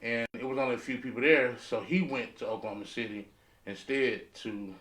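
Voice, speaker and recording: A man's voice: medium pitch, fairly quick, a bit bright. An American man talking casually and emphatically in a round-table discussion, repeating phrases for stress. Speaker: podcast panelist. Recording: roomy echo, distant from mic.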